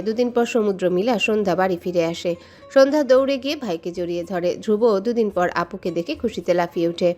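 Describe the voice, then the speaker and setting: A woman's voice: medium pitch, darkish tone. A woman reading a scripted romantic story as a dramatic narrator, with music behind her. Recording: music under the voice. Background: background music.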